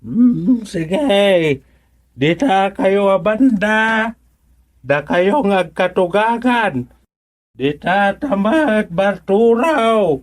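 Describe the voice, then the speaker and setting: An actor playing a grave village elder in an Ilocano radio comedy drama, with an Ilocano accent and deliberate, drawn-out phrasing. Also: medium pitch, animated. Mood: instructing.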